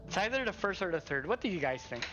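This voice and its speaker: A woman's voice: on the low side, big pitch swings, quick pace, highs cut off, music in the background. A woman game streamer giving casual live commentary, musing aloud in a hesitant way.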